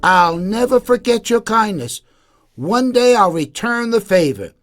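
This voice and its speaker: A man's voice: sits high, big pitch swings, measured pace, faint music behind, voice pushed up. A man voicing a small, curious and brave female mouse in a storybook, with an earnest, pleading manner. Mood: grateful.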